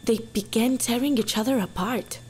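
A woman playing a rattled, analytical scientist in an audio drama, speaking haltingly. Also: a little high, background noise, natural voice. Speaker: scientist character.

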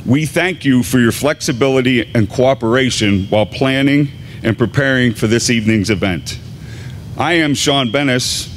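A man in his fifties, an assistant high school principal, giving a formal, deliberate graduation address at a podium microphone in a large gymnasium. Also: a little hiss or hum. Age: 50s. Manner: measured, deliberate announcing with pauses.

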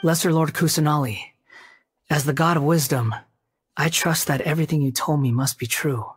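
An actor playing a young male wanderer with a lost past in a video game, polite, measured and reserved. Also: on the high side, fairly even intonation, slightly rough. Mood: earnest.

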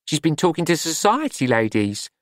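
Audiobook role: brisk, prim, protective young woman, male performer, earnest and easily flustered, lifted pitch. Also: big pitch swings, no echo, clean studio sound. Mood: astonished, flustered.

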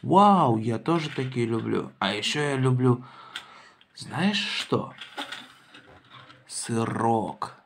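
A man's voice: medium pitch, lively intonation, slow pace, creaky, long pauses. A man in casual, playful chat with a child, encouraging and inquisitive, using simple phrases. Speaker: adult playing with and questioning a child in a toy car show. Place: indoors at a wooden table. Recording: noisy home recording with clicking toys.

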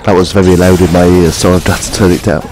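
Gaming host, 50s, man: gruff and hoarse from a cold, self-mocking casual chatter. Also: sits low, flat delivery, fast. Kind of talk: casual let's-play commentary. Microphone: headphones. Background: game music.

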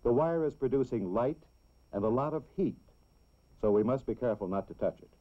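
A man's voice: medium pitch, expressive. American guy doing 60s school film narration, explains stuff simply for kids, muffled lo-fi old soundtrack. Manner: simple, deliberate explaining for children. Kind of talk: scripted 1960s educational film narration.

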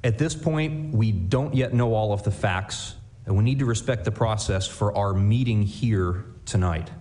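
A man's voice: on the low side, measured pace, darkish tone. A man speaking at a desk mic in a city council chamber, giving a careful formal statement. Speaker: city official. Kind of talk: public meeting statement.

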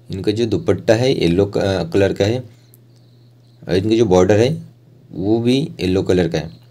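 An Indian man teaches in a low-pitched voice with a dark, relaxed tone. He narrates each step informally, pausing between short phrases as he goes. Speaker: rangoli art tutor.

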